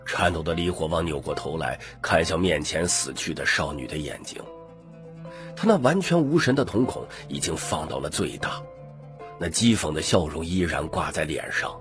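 Deep voiced guy narrating a dramatic audiobook, very animated, bit of music under it. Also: normal speed.

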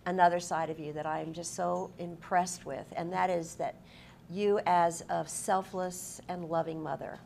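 A middle-aged woman hosts a conversational interview in a fairly low voice with plenty of vocal fry. She sounds effusive and admiring toward her guest. Background noise is audible around her. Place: indoor TV studio desk. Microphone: lapel mic.

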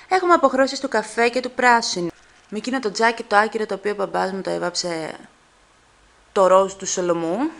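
Chatty young woman vlogging at home. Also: mid-range voice. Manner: chatty and excitable, quick asides to viewers. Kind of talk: casual vlog chat to camera. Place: family home indoors. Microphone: handheld camera at arm's length.

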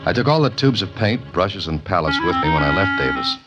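An actor playing a cool, wisecracking amateur sleuth in a 1940s radio mystery, with a deadpan, clipped delivery. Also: male voice, low-pitched, a little fast. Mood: cool, pensive. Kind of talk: 1940s radio mystery acting, first-person narration. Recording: muffled old radio recording with music.

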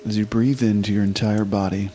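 Low-pitched man guiding a meditation. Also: flat delivery, dark tone, soft background music. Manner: slow, unhurried instruction with long pauses. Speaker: meditation guide. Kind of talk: guided meditation.

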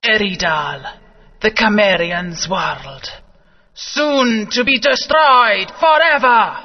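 A man voicing a solemn male alien overlord making slow proclamations in a video game. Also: sits high. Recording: muffled audio with long reverb.

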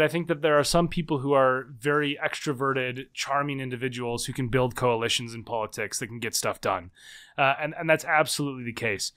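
A man in his thirties, a guest author and researcher, talking fast and animatedly with many restarts in a casual interview. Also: mid-range voice, a bit bright. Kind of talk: casual long-form interview.

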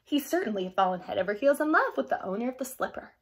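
A woman reading a storybook and voicing a haughty, mocking young stepsister with exaggerated, drawn-out scorn. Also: a little high, very animated. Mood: gossiping.

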